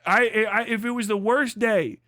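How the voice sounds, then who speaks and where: A man with a high, sharp voice rants casually as a livestream host, swearing freely and hammering points by repeating them. The sound is close and very dry, with a forceful edge to the delivery. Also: normal speed, no room sound. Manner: profane, emphatic, repeats phrases for emphasis. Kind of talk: casual livestream commentary, comedic ranting.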